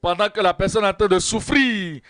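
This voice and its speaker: A man preaching at a Bible study, repeating verse references and key phrases in a slow, measured teaching manner. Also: sits high, fairly bright tone, no room sound, studio quality. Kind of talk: sermon.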